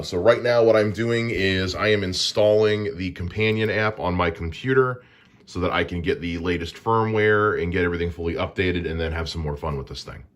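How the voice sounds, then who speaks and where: Man, very deep voice, relaxed explainer, podcast host, home studio, close dynamic mic. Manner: relaxed and explanatory, with frequent "uh" fillers. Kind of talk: casual gear unboxing walkthrough. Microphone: dynamic broadcast studio mic.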